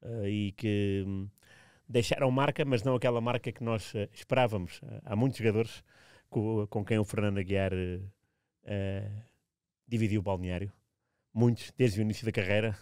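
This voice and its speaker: A man with a European Portuguese accent co-hosting a casual interview, meandering and hesitant with drawn-out pauses. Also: on the low side, fairly even intonation, a little mellow, smooth, no echo, studio quality. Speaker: co-host interviewer.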